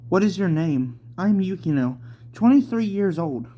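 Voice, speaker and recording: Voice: mid-range voice, animated, moderate pace, warm tone, pitched above the performer's own voice, natural voice. A narrator voicing a brusque, vengeful woman in her twenties in an amateur manga read-aloud. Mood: wary, questioning.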